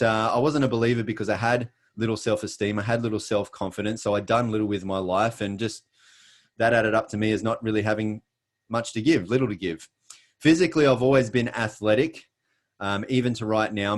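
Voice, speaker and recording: Earnest Australian man, lowish voice. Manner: earnest, emphatic storytelling with self-deprecating jokes. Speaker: presenter. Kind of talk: scripted motivational presentation.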